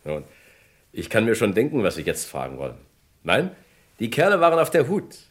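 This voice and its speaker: An actor playing a cooperative kidnapping victim who hesitantly recalls details, in a vintage radio crime drama. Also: male voice, fairly low pitch, huge pitch swings, moderate pace, husky, heavily acted. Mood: recalling.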